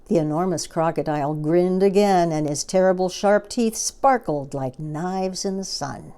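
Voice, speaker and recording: A woman's voice: fairly low pitch, unhurried, dark tone. A woman reading a children's storybook aloud as narrator.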